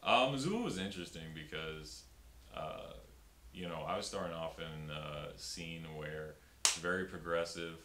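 A young man, a stand-up comedian, talking casually in an interview with many fillers and restarts, filmed in a small room. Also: normal speed. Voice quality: very deep voice. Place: small room before a pink backdrop. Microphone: fixed camera, upper-body framing. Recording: roomy with faint hiss.

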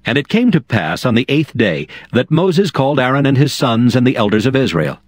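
A man narrating scripture from a script in a formal, measured manner. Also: medium pitch, very animated, dry recording, studio quality. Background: music.

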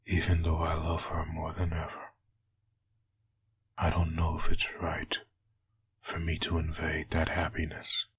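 A man playing a brooding, heartbroken undead soldier in a comic dub, very husky and breathy, somber and halting. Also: rumbling low, monotone, a bit bright, full character voice. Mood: mournful. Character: brooding, heartbroken dead soldier turned hellspawn.

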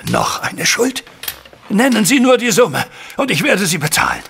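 An actor playing a distraught, wealthy older banker in a German audio drama, husky and agitated in his delivery. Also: high-pitched, wildly swooping pitch, moderate pace, fairly bright tone, a little hiss or hum, full character voice. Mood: overjoyed. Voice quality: husky, raspy.

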